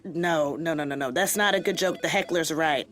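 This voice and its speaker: An actress in her twenties playing a sardonic, quick-witted young office worker in a scripted comedy, slangy and sarcastic. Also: deep voice, fairly bright tone. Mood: teasing. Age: 20s. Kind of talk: scripted workplace comedy acting.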